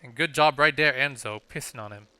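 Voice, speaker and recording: A man's voice: medium pitch, brisk, pushed and bright, clear, resonant tone, low-quality recording. A young man giving casual video game playthrough commentary in a hesitant, rambling way, often correcting himself.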